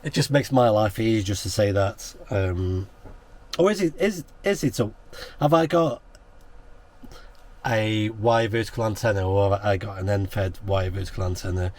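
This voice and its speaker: A man's voice: on the low side, normal speed, darkish tone. British man chatting and making radio contacts. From United Kingdom. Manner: chatty, spells words out in phonetic alphabet. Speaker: amateur radio operator and vlogger. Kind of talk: casual vlog talk and radio contact exchanges. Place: portable radio outing.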